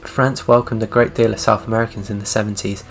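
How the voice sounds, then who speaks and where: A man's voice: fairly low pitch, flat delivery, fast, soft background music, close to an everyday voice, low-quality recording. A man reading out the lines of a sad Argentine woman passenger in a video game, in a plain reading voice. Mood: wistful. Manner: reads her lines out plainly.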